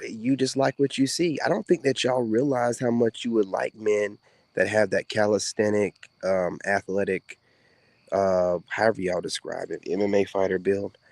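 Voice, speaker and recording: A soft-spoken man talks casually to the camera in a laid-back drawl, full of fillers and asides. His voice sits fairly low. The sound is dry and close, with little room in it. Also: no room sound. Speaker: content creator speaking to women viewers. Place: outdoors.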